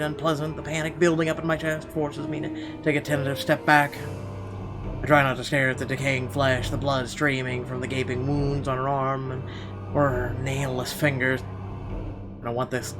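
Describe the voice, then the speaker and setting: A man's voice: medium pitch, flat delivery, normal speed. A man reading horror visual novel narration aloud in a theatrical, suspenseful way with emphatic phrasing. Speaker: gaming video host. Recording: music behind the voice. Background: game music.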